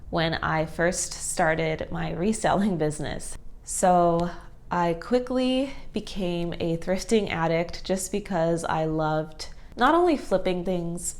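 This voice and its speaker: A woman in her twenties with a nasal, stuffed-up voice from allergies, chatting casually to camera and giving tips. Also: lowish voice. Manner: chatty, friendly, many fillers like "like" and "so". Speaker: thrifting vlogger. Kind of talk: casual vlog talk to camera.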